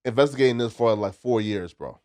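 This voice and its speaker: A man's voice: mid-range voice, fairly quick, dark tone. A man in a casual podcast debate, speaking emphatically and argumentatively in slang. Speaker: podcast panelist.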